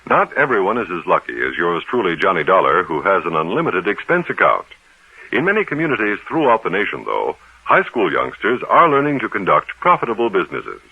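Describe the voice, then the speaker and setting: A man's voice: sits low, very animated, brisk, husky, dry recording. A man reading a scripted 1950s radio public service announcement as the announcer. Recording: thin, phone-like old radio recording.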